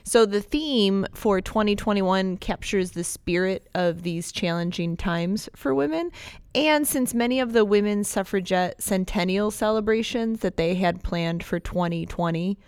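A woman with a Buffalo accent and a nasal, smooth, clear voice co-hosts a casual chat. She talks in a chatty way, drops sarcastic asides and laughs easily. The sound is close and dry, with no room in it. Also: medium pitch, measured pace, a little mellow, dry recording. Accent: Buffalo, New York. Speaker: podcast co-host.

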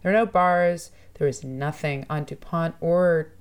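A woman from Toronto talks through her ideas in a relaxed interview. Her voice sits fairly low, and she muses aloud in a chatty, thoughtful way, with easy laughs breaking in now and then. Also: measured pace, smooth. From Toronto, Canada. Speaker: musician and guest. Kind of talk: casual podcast interview.

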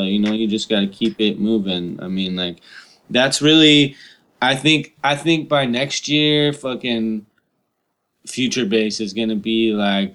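Low-voiced man in casual, sweary chat. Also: a bit bright. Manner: heavy slang and casual swearing. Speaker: podcast guest.

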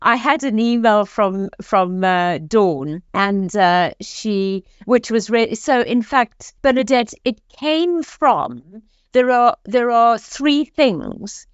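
Hesitant South African woman, smooth voice. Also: fairly high pitch, lively intonation, dry recording, highs cut off. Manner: hesitant, halting, with fillers and restarts. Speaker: guest, organisational development consultant. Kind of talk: podcast interview conversation.

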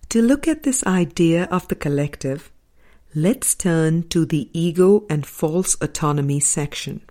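Slow, mellow woman reading an audiobook. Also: low voice. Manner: measured, deliberate phrasing with pauses between short phrases. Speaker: audiobook reader. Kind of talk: scripted spiritual audiobook narration.

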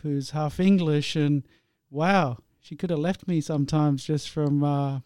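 A man from India hosts an interview in a friendly, rambling way. His voice is slightly high, mellow and smooth. The sound is dry. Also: dry recording. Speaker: host.

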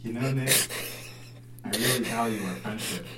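A man's voice: medium pitch, fairly even intonation, normal speed, a bit bright, slightly rough. A man doing an amateur script read-through with friends in a wisecracking, theatrical way. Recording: roomy echo, others laughing behind.

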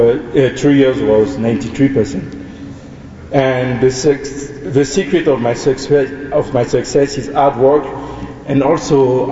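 A young man from Ivory Coast speaks, formal and earnest, in a mellow, darker tone. He sounds far from the mic in a large hall with a long reverb tail. The sound is dull, with a faint background hum. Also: medium pitch. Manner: earnest, measured, with small restarts. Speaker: student speaker. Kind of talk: formal welcome speech.

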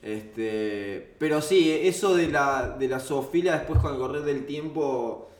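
A man's voice: mid-range voice, unhurried. A man with a Rioplatense Argentine accent chats casually as a guest, telling personal anecdotes in a candid, rambling way. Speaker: interview guest. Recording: roomy, echoey sound.

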